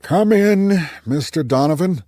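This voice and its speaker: A man's voice: fairly high pitch, lively intonation, a little slow, warm tone, no room sound, pitched above the performer's own voice. A spry man of almost ninety , acted out by a male audiobook narrator. He is genial and talkative, with a wry streak, and the sound is close and dry. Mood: genial, welcoming. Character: spry, cheerful old man near ninety, amateur painter.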